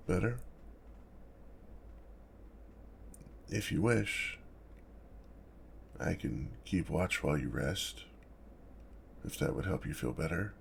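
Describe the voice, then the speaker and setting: A man voicing an awkward, gentle, protective lonely dragon in a soft ASMR roleplay, with a deep gravelly voice and a hesitant, formal way of talking. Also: slight background noise, heavily acted. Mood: soothing, protective. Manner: hesitant, self-conscious, halting, formal wording. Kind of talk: ASMR roleplay, speaking softly to the listener.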